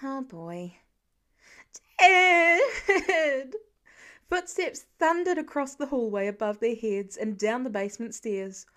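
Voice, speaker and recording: A woman with a fairly high voice narrates a story. She reads scripted fiction in the manner of an audiobook narrator. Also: normal speed, few pauses.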